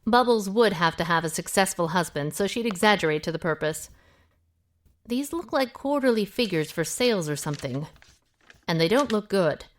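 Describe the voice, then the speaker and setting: An actress playing a shrewd, take-charge amateur detective with a brisk, wry way of reasoning aloud in an audio drama. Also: female voice, mid-range voice, expressive, natural voice. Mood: wry, assured. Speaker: lead actor in an audio drama.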